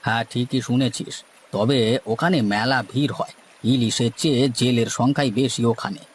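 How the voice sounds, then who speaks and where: A man's voice: medium pitch, steady tone, normal speed, slight background noise, close to an everyday voice. A man playing a seasoned, boastful village boatman in a cartoon, with a folksy, lecturing manner. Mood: assertive, boastful. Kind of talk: cartoon story dialogue.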